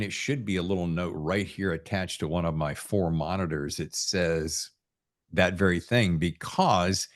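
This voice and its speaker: Male podcast host, lower register, folksy and enthusiastic, chatting informally with guests. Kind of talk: casual interview chat.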